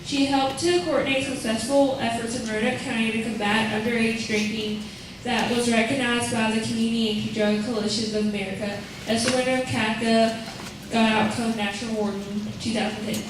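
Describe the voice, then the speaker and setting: A woman's voice: on the high side, fairly even intonation, brisk, few pauses. Young female student reading an introduction. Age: teens. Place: conference hall. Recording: echoey hall, distant mic, noisy.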